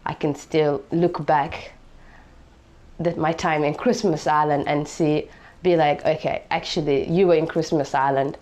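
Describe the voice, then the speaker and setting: A woman's voice: low voice, fairly even intonation, unhurried, a little mellow. A young woman from Somalia telling her own story in an interview, reflective, with lots of "you know" fillers. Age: young adult. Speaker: interviewee, refugee.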